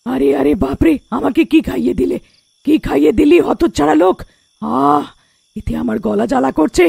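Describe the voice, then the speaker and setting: A woman voicing a menacing, liquor-craving village witch in a cartoon fairy tale, creaky and theatrical. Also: sits high, a little mellow, no echo. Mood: panicked wailing. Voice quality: creaky, gravelly. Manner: drawn-out, chanting threats and wailing.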